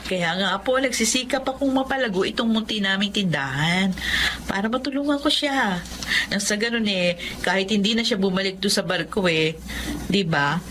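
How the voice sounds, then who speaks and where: An actress playing a hardworking, caring wife in a Filipino radio drama, polite and conversational. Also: female voice, medium pitch, moderate pace, fairly bright tone, slight background noise, close to an everyday voice. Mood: grateful, tender. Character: hardworking, caring wife and small-store keeper, grateful and modest.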